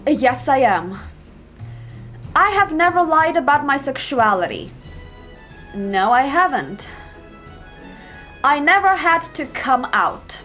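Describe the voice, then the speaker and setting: A young woman vlogger talks to camera in a high, bouncy voice, reading quiz questions aloud and snapping back with sarcastic, profane answers. Soft music plays faintly underneath. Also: very animated, takes it slowly, pauses often. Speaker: YouTuber. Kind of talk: reacting to online quizzes to camera.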